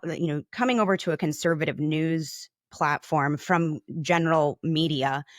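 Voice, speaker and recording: A woman's voice: fairly low pitch, no echo, studio quality. A woman speaking as an interview guest, explaining her industry in a conversational way. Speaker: podcast guest, advertising account manager.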